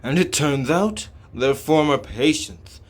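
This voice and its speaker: Male game surgeon, read by a man, calm and devoted to humans, slow deliberate delivery, explanatory. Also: a little high. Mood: calm, resolute. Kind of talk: scripted game dialogue reading.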